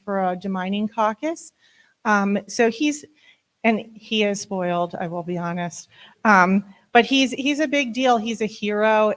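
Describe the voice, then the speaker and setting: A middle-aged woman with a loud voice gives a casual talk about her dog at a library event, speaking into a handheld microphone and often filling pauses with "um". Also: mid-range voice. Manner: chatty, many "um" fillers. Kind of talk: informal presentation to an audience.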